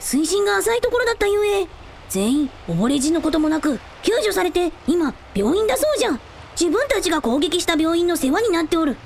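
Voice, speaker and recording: A woman's voice: very high voice, big pitch swings, brisk, creaky, a little hiss or hum, heavily acted. A woman playing a young, earnest dragon prince in a radio drama, speaking in archaic lordly phrases with childlike openness. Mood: matter-of-fact. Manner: old-fashioned lordly speech with childlike openness. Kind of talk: Japanese radio drama acting.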